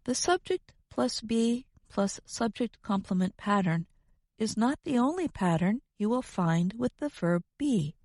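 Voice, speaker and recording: Slow, deliberate woman grammar presenter. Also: fairly high pitch, lively intonation, a little mellow, dry recording, clean studio sound. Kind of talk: scripted educational narration.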